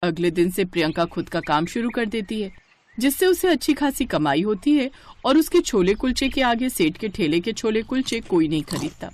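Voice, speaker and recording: A woman tells a scripted moral story. Her voice is mid-pitched, and her intonation rises and falls a great deal as she narrates. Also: slight background noise.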